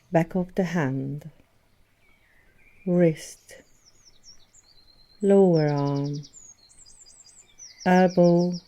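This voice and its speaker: A woman leading a guided yoga nidra meditation as an instructor, speaking in a soothing, gentle manner with long pauses between phrases. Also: low voice. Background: birdsong and nature sounds.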